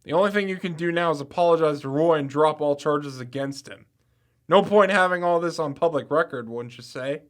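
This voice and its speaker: A confident young campus sleuth acted by a man in an audio drama. His voice sits a little high, and he explains things matter-of-factly, slipping in wry, punning asides. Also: fairly quick, lightly acted.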